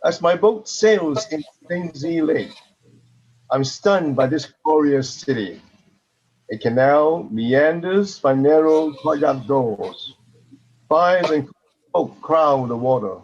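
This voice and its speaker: A man reads poetry aloud very slowly and with care. His voice sits a little high for a man, and the recording sounds dull and lo-fi. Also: lively intonation, a little mellow, breathy edge. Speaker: participant reading poetry aloud. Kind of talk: measured poetry reading.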